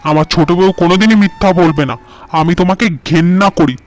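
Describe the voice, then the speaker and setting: A man's voice: high-pitched, quick pace, lightly acted. A man voicing a young farmer husband in a cartoon tale, who speaks in a stern, scolding way. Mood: angry.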